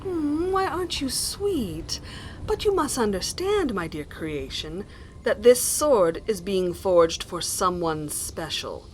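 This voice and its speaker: A woman's voice: medium pitch, sing-song, slow, a little hiss or hum, rough recording. A woman playing a vengeful, doting sorceress in a fantasy audio drama, theatrical and sweetly sinister. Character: vengeful, doting evil sorceress who forges cursed weapons.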